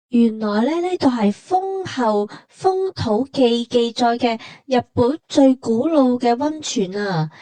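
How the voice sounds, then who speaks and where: A woman speaks Hong Kong Cantonese in a fairly high, very mellow voice. She narrates a trip conversationally, explaining each thing like a storyteller. The recording is close and very dry. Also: no room sound. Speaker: travel vlog narrator. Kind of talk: conversational scripted travel narration.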